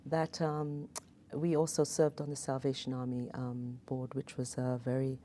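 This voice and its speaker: A woman's voice: very deep voice, level tone, moderate pace, dark tone, soft background music. A woman with an English accent, hosting a casual interview, affirming and conversational. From England. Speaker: host.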